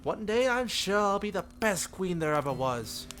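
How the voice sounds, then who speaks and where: A man's voice: high-pitched, very animated, moderate pace, a bit bright, soft background music, voice pushed up. A man voicing a cheerful, sheltered young princess in a visual novel, with an airy, regal, slightly formal manner. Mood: cheerful, eager. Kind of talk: visual novel character read-aloud.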